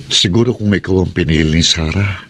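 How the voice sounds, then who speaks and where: A broken husband in a radio drama, his voice deep and heavy with self-pity. He speaks haltingly, ready to sacrifice himself for his family. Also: fairly bright tone, a little hiss or hum. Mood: resigned. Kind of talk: Tagalog radio drama acting.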